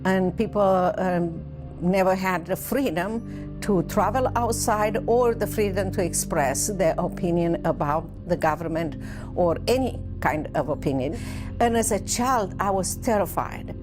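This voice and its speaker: This is a woman telling her story as an interview guest. She speaks slowly and earnestly, sometimes hesitating. Music plays clearly beneath her voice. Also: on the low side. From Romania. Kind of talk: recounting personal testimony.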